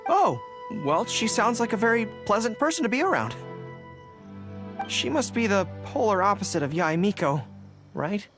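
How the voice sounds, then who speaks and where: An earnest young dog-eared general from a video game, acted by a woman, speaking. He is polite and easily flustered, and his pitch swings freely. Music plays softly underneath. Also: sing-song, moderate pace, close to an everyday voice. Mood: polite, puzzled. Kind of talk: English video game voice acting.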